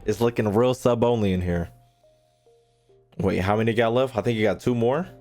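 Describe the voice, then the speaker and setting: Low-voiced slangy male gaming streamer. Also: normal speed, dark tone. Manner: slangy, repeats phrases, sweary. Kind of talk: casual gameplay commentary. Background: game music.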